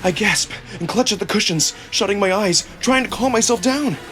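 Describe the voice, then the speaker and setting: A man reading a visual novel in the first person as a theatrical, expressive narrator. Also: high voice, moderate pace, background noise. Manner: theatrical, expressive, acts out emotion. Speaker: narrator and performer. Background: music.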